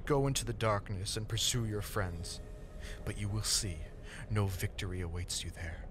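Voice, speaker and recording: A man's voice: fairly low pitch, moderate pace, music in the background, lightly acted. A man voicing a fervent, tearful female rogue priestess preaching doom in an impassioned, pleading and sneering manner for an audio drama. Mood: bitter, menacing.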